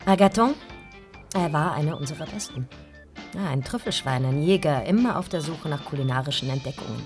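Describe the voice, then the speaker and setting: A young, candid publisher speaks politely and directly in a radio drama. Her voice is notably low for a woman, with faint music behind it. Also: lively intonation, brisk. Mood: direct, pensive. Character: young, candid female publisher and editor-in-chief. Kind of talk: German radio drama dialogue.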